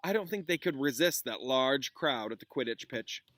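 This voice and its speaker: A man's voice: medium pitch, animated, normal speed, fairly bright tone, no room sound, studio quality, natural voice. A man voicing a grave, kindly young wizard professor in a storybook read-aloud, solemn and emphatic. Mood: cool, grim.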